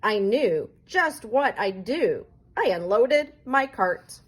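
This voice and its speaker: A woman's voice: on the high side, lively intonation. Woman reading a storybook with swooping expression. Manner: dramatic, deliberate delivery. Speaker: teacher reading aloud. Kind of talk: expressive children's storybook read-aloud.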